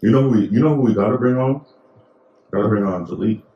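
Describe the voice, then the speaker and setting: A man's voice: on the low side, fast talker, reverberant, highs cut off. A young man co-hosting a podcast in casual, rambling chat full of slang and fillers. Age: young adult. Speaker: podcast co-host.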